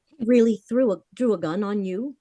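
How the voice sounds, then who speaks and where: An actress playing a composed, mysterious American woman in a vintage radio mystery, measured and earnest. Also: mid-range voice, dark tone, natural voice. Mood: polite, uneasy. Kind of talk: vintage radio drama acting.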